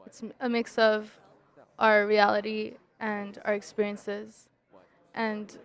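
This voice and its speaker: A woman speaks in a medium-pitched voice with little variation. She offers reflective statements in a considered way. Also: monotone, takes it slowly.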